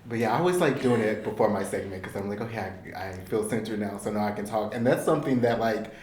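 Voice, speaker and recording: A man's voice: fairly low pitch, fast talker, darkish tone, slight background noise. Earnest man chatting in an echoey room. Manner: earnest and open, laughing lightly between thoughts. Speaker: podcast co-host. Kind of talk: casual reflective chat.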